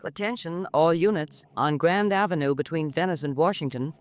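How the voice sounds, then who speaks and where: A man playing a formal, measured police dispatcher calling units over a police radio in a 1950s radio drama. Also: a little high, no room sound. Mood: formal, urgent. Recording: distant police radio with loud noise.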